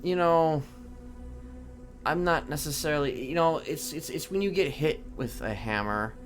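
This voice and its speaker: A man playing a casually sadistic criminal in an online roleplay, talking in a halting, rambling, matter-of-fact way with casual swearing. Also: a little high, lively intonation, faint music behind. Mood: casual, unrepentant. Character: rambling, casually sadistic male criminal confessing his violent deeds. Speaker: streamer role-playing a character. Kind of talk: improvised in-character roleplay dialogue. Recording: clear background noise.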